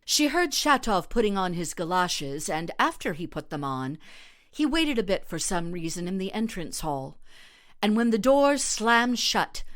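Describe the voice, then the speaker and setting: A woman actor narrating a literary short story in a measured, dramatic reading style. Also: mid-range voice.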